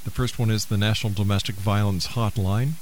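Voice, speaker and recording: Male talk radio host, lower register, deliberate announcer delivery, slightly noisy broadcast sound. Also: steady tone. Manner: deliberate, spells out details. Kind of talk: radio host announcing phone numbers and addresses.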